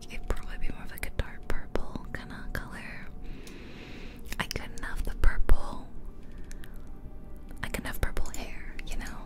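A woman whispering close to the microphone in an ASMR style, casually musing aloud as she comments on a game. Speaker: ASMR video creator. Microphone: close ear-to-ear ASMR microphone.

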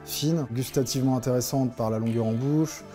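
A man's voice: medium pitch, moderate pace, mellow, faint music behind. A man in his thirties explaining his craft in an interview to camera, as a brewery co-manager. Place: indoors in a brewery tasting room.

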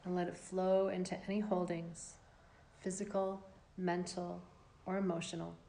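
Woman doing guided yoga nidra, lowish voice w lots of fry, calm n measured, gentle instructions, big pauses. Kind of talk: guided relaxation meditation instruction.

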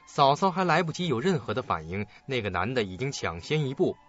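Guy narrating a suspense radio play, very animated bright voice, bit muffled with music. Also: medium pitch, fairly quick. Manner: expressive, suspenseful. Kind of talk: dramatic scripted radio drama narration. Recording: dull lo-fi audio, faint music.

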